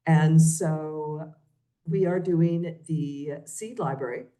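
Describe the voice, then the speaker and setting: A woman librarian giving a chatty informal talk, with a big booming voice. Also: slow, dark tone. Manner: chatty, says she has a booming voice.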